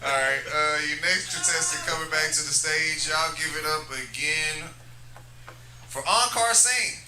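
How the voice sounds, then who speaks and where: A man's voice: fairly high pitch, unhurried, loud music behind the voice. A man hosting a live comedy show, announcing acts and bantering from the stage. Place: comedy club stage, on a microphone. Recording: noisy echoey club, loud crowd.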